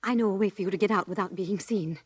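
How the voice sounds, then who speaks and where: A woman's voice: mid-range voice, fast talker, breathy edge, no echo, lightly acted. An actress playing an anxious, devoted woman who looks after a lodger, earnest and urgent, in 1940s radio drama style.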